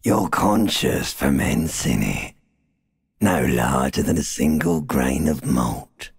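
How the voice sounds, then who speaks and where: Abyssal gravelly primordial brain creature. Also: male voice, very deep voice, animated, heavily acted. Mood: sardonic soothing. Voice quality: gravelly, raspy, guttural croak. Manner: slow, drawn-out, mock-soothing, sardonic crooning. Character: ancient reptilian brain, seductive, menacing, world-weary inner voice. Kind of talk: theatrical video game voice acting.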